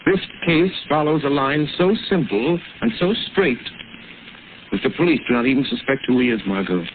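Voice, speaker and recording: An actor playing a calm, reflective gentleman detective working out a crime in a vintage radio drama. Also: mid-range voice, lightly acted. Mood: thoughtful. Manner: measured, reflective. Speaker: amateur detective. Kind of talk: 1940s radio acting. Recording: lo-fi old radio broadcast, hiss.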